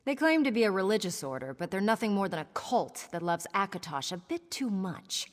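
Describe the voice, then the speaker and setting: A woman's voice: mid-range voice, big pitch swings, a little fast, long reverb tail, lightly acted. An actress playing a scheming, cynical pirate woman in a video game, with a sardonic, conspiratorial manner. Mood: sly, cynical. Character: scheming roguish female pirate, sly and cynical. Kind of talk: video game character dialogue.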